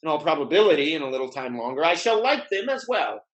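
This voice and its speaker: A man playing a witty, urbane gentleman playboy in a Restoration comedy, speaking wry, elaborate period phrases in an articulate way. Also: a little high, big pitch swings, quick pace. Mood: wry, fond. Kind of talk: Restoration comedy play reading.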